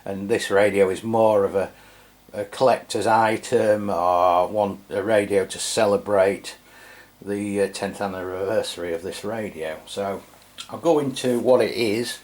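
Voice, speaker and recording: A man's voice: on the low side, steady tone, measured pace, darkish tone. A middle-aged man with an English accent, giving a casual product review in a hesitant, rambling, matter-of-fact way. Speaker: radio review channel host.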